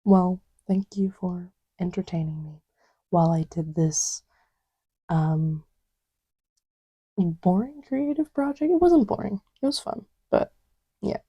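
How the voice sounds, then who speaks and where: Woman playing a soft, dark-voiced, playful girlfriend. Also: on the low side, expressive, breathy edge, dry recording, muffled recording, exaggerated voice. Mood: soft, fond. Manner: soft, halting, murmured, teasing. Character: playful, easily distracted young girlfriend absorbed in a hobby. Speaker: audio roleplay voice actor. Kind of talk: intimate ASMR girlfriend roleplay.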